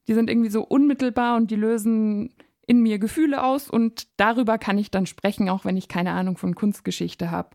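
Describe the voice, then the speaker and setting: A German woman presents a radio arts feature as a scripted narration. Her tone is dark and soft-edged. The sound is very dry, as if she sits right at the studio microphone. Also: mid-range voice, dry recording.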